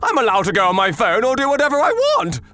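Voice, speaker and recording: A man voicing a loud, selfish male schoolteacher who is hooked on his phone, shouting and whining in a manga dub. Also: very high-pitched, wildly swooping pitch, fast, no room sound, faint music behind, exaggerated voice. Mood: bossy.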